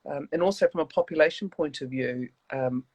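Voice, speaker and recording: A woman from New Zealand with a New Zealand accent, chatting as an interview guest on a video call, warm and rambling with many restarts. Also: low-pitched, a little fast, slightly rough, no echo. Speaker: guest, church leader. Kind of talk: casual interview chat.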